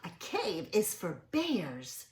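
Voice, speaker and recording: A woman reads a storybook to small children in a roomy, echoing space. Her voice swings widely as she stretches words for emphasis and checks in with her listeners. She takes it slowly. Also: lowish voice. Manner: animated, sing-song, drawn-out emphasis. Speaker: children's read-aloud storyteller. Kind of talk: expressive picture-book reading with questions to young listeners. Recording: roomy, echoing indoor sound.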